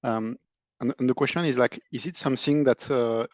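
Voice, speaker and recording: A man asking questions as an analyst on a business conference call, in a halting, searching way. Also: medium pitch, fairly even intonation, darkish tone, dry recording.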